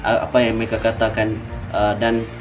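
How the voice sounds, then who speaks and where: A man's voice: completely flat delivery, normal speed, faint music behind. Emphatic Malaysian man, bursts and asides. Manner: emphatic, switches between loud bursts and low trailing asides. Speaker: football reaction YouTuber. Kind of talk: casual chat to viewers.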